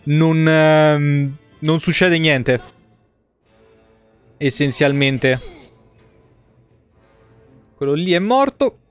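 Highish-voiced male streamer. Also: drawn-out words, long pauses, clear, resonant tone. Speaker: video game streamer. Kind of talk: casual live gameplay commentary. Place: small indoor room at a desk. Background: game music.

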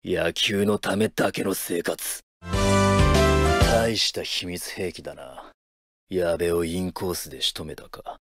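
An actor playing a gruff, blunt, hard-bitten young high school baseball player in a game. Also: male voice. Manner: blunt, brooding, rough-worded. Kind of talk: anime-style game voice lines. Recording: loud game music behind the voice.

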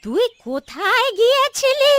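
A woman's voice: very high voice, very animated, measured pace, very noisy, heavily acted. A woman voicing a worried, grumbling old village grandmother in a cartoon story. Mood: scolding, exasperated. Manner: exclaiming, complaining, fretful. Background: background music.

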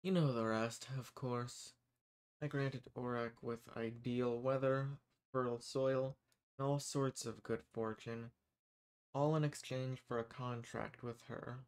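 A man's voice: medium pitch, fairly even intonation, clean tone, natural voice. A man playing an arrogant, menacing archdemon. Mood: coolly recounting. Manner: condescending, unhurried, theatrical. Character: arrogant, menacing male archdemon who strikes bargains. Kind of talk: dramatic monologue audio roleplay.

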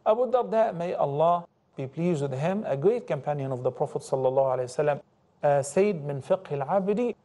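A middle-aged man giving a televised religious lecture as host, animated and gesturing, reciting Arabic quotations in a studio. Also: on the high side. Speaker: Islamic scholar and TV host. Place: indoor TV studio set.

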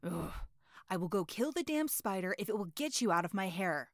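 A woman's voice: medium pitch, very animated, brisk. A woman voicing a brash, hot-tempered teenage boy hero in an audio roleplay; he swears constantly, boasts, and snaps at everyone. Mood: annoyed.

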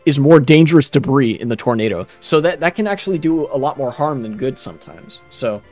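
A man's voice: medium pitch, animated, a little fast, darkish tone. A young adult man, rambling casually and earnestly as he explains things during a game stream. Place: small room at home, indoors. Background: game music.

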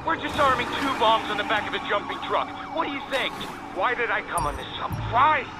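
A man's voice: very high-pitched, animated, a little fast, loud music behind the voice. A man playing a nervy, sarcastic tech whiz in a video game cutscene. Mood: exasperated. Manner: exasperated, sardonic. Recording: heavy vehicle noise over voice.

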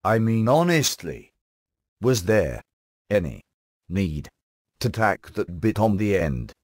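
Low-pitched sardonic British man. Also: big pitch swings, slow pace, a little mellow, dry recording. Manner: sardonic, dry humour. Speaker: reviewer, show host. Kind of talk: scripted comic review narration.

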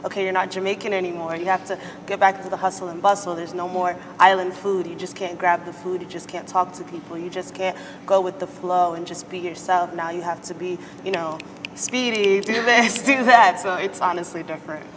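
A young woman from the United States answering interview questions in a relaxed way, often pausing with "um". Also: lowish voice, long reverb tail, background noise. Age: young adult. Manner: relaxed, filling pauses with "um". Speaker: student guest. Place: indoor studio set. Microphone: lapel mic.

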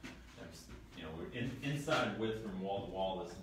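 A man's voice: low voice, expressive, normal speed. Laid-back man, distant and echoey. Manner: laid-back, technical, adds measurements. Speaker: tiny home builder co-host. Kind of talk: casual tour chat. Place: outdoor building lot and inside tiny houses. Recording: roomy, distant, noisy outdoor audio.

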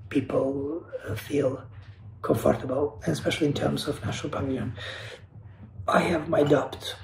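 A man's voice: a little high, creaky, dark tone, roomy sound, a little hiss or hum, rough recording. A man from Kosovo, speaking as an artist on a panel over a video call, earnest and searching, often restarting and repeating words. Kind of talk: reflective panel talk.

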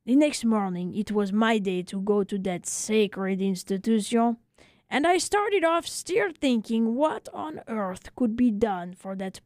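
A woman playing an excitable, tender-hearted little French barber telling his tale in a dramatic, emphatic way for a radio story. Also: on the high side, no echo, clean studio sound.

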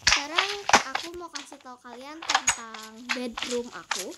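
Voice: high voice, unhurried. A child giving casual commentary on a game house tour, recorded on a phone. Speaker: gaming vlog host. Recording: very noisy phone recording with clicks.

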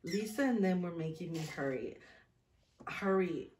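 Low-voiced woman in an echoey room. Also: a little slow, slight background noise. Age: late 30s. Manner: expressive, giggly, self-interrupting. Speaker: vlogger mom reading her old journal. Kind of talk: reading a diary aloud with casual asides. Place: small indoor room, seated at a table. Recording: roomy echo, distant mic.

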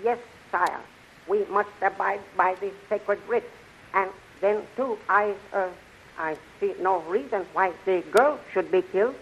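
An actress playing a solemn high priestess in a 1930s radio serial, formal and deliberate. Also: female voice, muffled recording, natural voice. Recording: hissy 1930s radio recording.

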